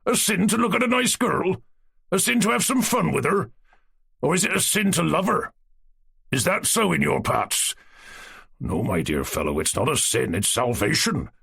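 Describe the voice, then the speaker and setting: A man reading aloud voices a loud, teasing old Cossack man who speaks emphatically. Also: on the high side, very animated, moderate pace, creaky, husky, pitched above the performer's own voice, full character voice. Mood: boisterous.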